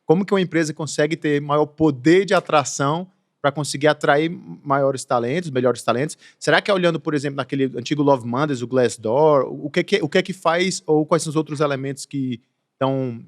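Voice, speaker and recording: A man's voice: mid-range voice, a little fast, dry recording, studio quality. A Brazilian man hosting a casual podcast chat, rambling with many restarts and fillers and laughing through his stories. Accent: Brazilian Portuguese. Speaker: podcast host.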